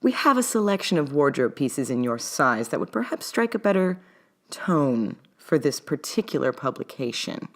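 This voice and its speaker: A woman voicing a diplomatic male fashion photographer in an audiobook, measured, patient and condescending. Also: deep voice, sing-song, heavily acted.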